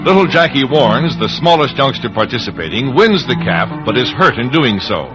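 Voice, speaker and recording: A man's voice: medium pitch, sing-song, fairly quick, close to an everyday voice, poor audio. A man narrating a western serial as a formal, dramatic announcer in old-time radio style. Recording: vintage radio with organ music.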